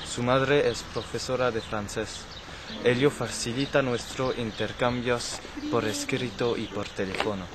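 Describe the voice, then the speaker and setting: Slow, halting man. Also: medium pitch, fairly even intonation, noisy background. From French Basque Country. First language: French. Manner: halting, restarts mid-word. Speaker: personal testimony narrator. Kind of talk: reading a prepared personal statement. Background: loud music.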